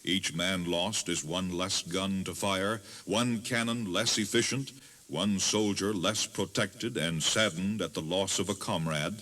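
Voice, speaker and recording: A man giving solemn, measured, dramatic scripted narration as a tour guide. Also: low voice, steady tone, sharp tone.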